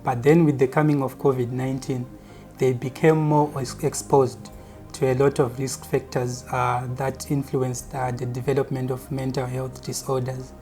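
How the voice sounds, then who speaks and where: Male explainer, flat intonation, halting and matter-of-fact, faint music bed. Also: mid-range voice. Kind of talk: interview explanation. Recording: soft music under the voice.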